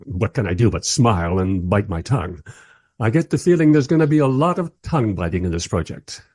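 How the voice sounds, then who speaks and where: A husky, mid-pitched voice for a wry documentary director, played by a man in a radio play. His intonation moves around a lot as he shifts between sardonic narration and smooth placating talk. The sound is close and dry. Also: expressive, a little fast, darkish tone, no room sound. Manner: conversational, dryly sardonic, diplomatic. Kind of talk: first-person radio play narration and dialogue.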